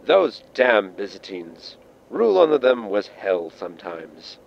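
A man's voice: on the low side, sing-song, a little slow, vocal fry, dull audio, a little hiss or hum, heavily acted. A man voicing an android historian giving a dry recorded lecture in a sci-fi comedy audio drama. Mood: lecturing.

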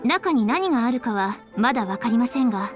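Young female game official, voiced by an actress: high voice, articulate, polite, businesslike, music underneath. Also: expressive, a little fast, lightly acted. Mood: composed, explanatory. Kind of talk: Japanese video game voice acting.

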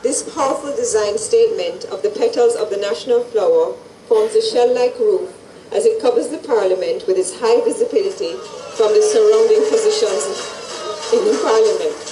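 A middle-aged woman reading a formal scripted presentation at an event in a measured way. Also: medium pitch, reverberant, distant mic, over music, low-quality recording. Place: large indoor event hall with stage and audience. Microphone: handheld microphones at a lectern, through PA.

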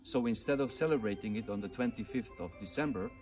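Man, low voice, matter-of-fact, recorded from a distance outdoors, faint music. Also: fast, a little mellow. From Serbia. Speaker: company employee. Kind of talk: recorded greeting and explanation. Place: outdoors in a park.

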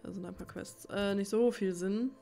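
A woman's voice: mid-range voice, steady tone, moderate pace, vocal fry, mellow. A woman giving casual gameplay commentary, musing to herself in an informal way. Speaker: let's player. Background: game music.

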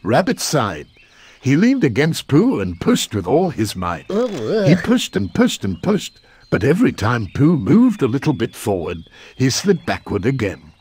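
A man narrating a children's story in an expressive read-aloud style. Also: mid-range voice, a little slow, breathy edge.

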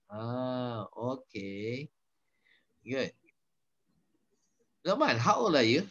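A man's voice: mid-range voice, sing-song, drawn-out words, fairly bright tone. A Malaysian man in his fifties, a patient English teacher coaching a student on a video call, switching between Malay and English. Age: 50s. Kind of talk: online conversation lesson. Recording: slightly dull video call audio.

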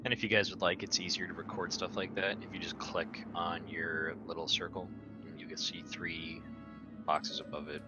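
A man's voice: low-pitched, flat delivery, moderate pace. A man talking casually as a player during a tabletop roleplaying game. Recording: dull lo-fi audio over clear music.

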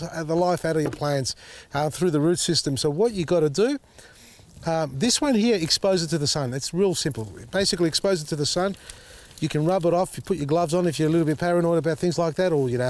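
A man, slightly husky as his voice recovers, chatting casually while demonstrating repotting outdoors in his garden. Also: a little high. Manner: chatty, thinks out loud, many fillers. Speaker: gardening show host. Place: outdoor garden beside a tall hedge.

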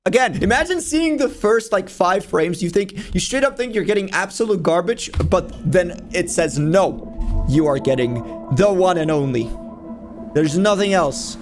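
A young man giving casual, excitable reaction commentary as host of a gaming video, informal and full of exclamations. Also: high voice, unhurried. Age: young adult. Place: indoor room at a desk. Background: music from the videos he watches.

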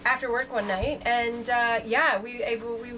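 A woman's voice: a little high, fairly even intonation, moderate pace, sharp tone, a little hiss or hum. A woman in her thirties chatting casually to the camera about sailing life, upbeat and chatty with fillers. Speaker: sailing vlogger. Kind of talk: casual vlog talk to camera. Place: boat cabin, indoors.

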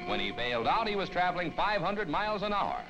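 A man's voice: high-pitched, sing-song, fast talker, rough recording. An American man reading scripted 1950s newsreel narration in a dramatic, declamatory manner on a vintage film soundtrack. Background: loud orchestral newsreel music.

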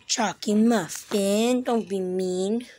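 A woman with a raspy voice, a little sick and clearing her throat, chatting casually behind a phone camera in a room, with lots of ums and likes. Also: mid-range voice, takes it slowly, dark tone, slight background noise. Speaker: pet channel host. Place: small room indoors. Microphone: handheld phone, mostly behind the camera.

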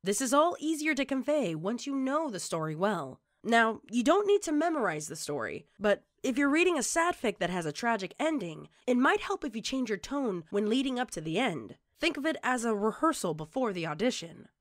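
A woman reading scripted tutorial narration in a measured, instructional way, like an online narrator presenting tips. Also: on the high side, big pitch swings, no room sound, clean studio sound.

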